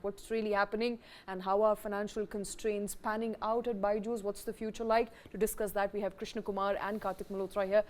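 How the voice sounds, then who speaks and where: A woman's voice: mid-range voice, warm tone. A woman with an Indian accent anchoring live news, moving between a scripted lead and questions to guests.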